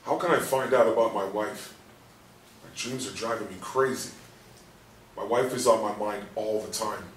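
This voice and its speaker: An actor playing an angry, frustrated hospital patient in a stage play reading, anguished, demanding and given to swearing. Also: male voice, fairly low pitch, moderate pace, lightly acted. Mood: frustrated, pleading. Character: angry, frustrated male hospital patient trapped after a coma. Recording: roomy distant recording with faint noise.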